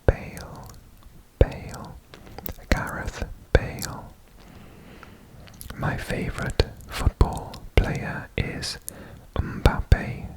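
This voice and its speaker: A person from Wales whispering in a breathy, deliberate way for ASMR, repeating words. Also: poor audio. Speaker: ASMR host presenting a personal guessing quiz.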